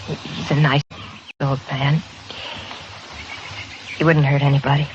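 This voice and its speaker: An actress playing a bleak, resigned American frontier woman in an old radio western, speaking with flat fatalism. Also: low voice, slow pace. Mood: anxious, regretful. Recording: muffled old radio recording, clear hiss.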